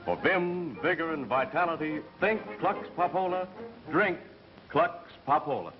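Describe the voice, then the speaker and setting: An actor playing a harried radio announcer in a 1930s film acting style. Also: male voice, fairly high pitch, a little slow, natural voice. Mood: showy, upbeat. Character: harried radio announcer reading bombastic commercials and circus ballyhoo. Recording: muffled 1930s film soundtrack, loud music.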